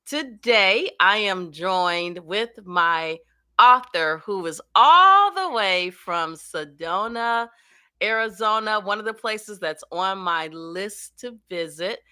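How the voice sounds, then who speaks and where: A woman hosting an interview show, introducing her guest in a deliberate, welcoming way. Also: medium pitch, lively intonation, pushed and bright, smooth, no echo, clean studio sound. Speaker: host.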